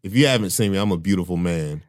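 A man with a very low, deep voice, holding forth in a loose podcast conversation. He talks with emphasis and often breaks into laughter. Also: lively intonation, fast talker. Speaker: podcast co-host. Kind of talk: casual chat.

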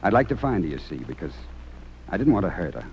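A man's voice: low voice, very animated, quick pace, highs cut off. An American man acting in an old-time radio drama, playing a stern, impassioned talent judge with earnest, theatrical speechifying. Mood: weary regret. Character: stern, impassioned male music talent judge, remorseful underneath. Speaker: actor. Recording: noisy old radio recording.

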